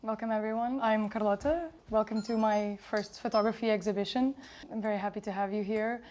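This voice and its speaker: A woman's voice: mid-range voice, fairly even intonation, a little fast, a little mellow, soft background music. A young woman from Lisbon hosting a talk at her photography exhibition, friendly and hesitant with many fillers. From Portugal, Lisbon. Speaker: host, photographer.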